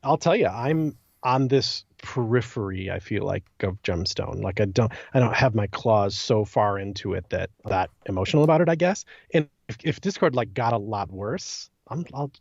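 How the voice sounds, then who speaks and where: A man with a fairly low voice chats casually on a podcast, thinking out loud in informal, halting phrases. The recording is dry and close, though a little dull and lo-fi. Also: lively intonation, creaky, no echo. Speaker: podcast guest and co-discussant. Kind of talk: casual podcast chat about a game.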